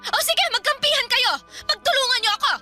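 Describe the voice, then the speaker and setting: A woman's voice: very high-pitched, quick pace, loud music behind the voice. A woman playing a defiant, hot-headed pregnant young girlfriend in a Filipino radio drama, snappy and argumentative. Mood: angry, confrontational.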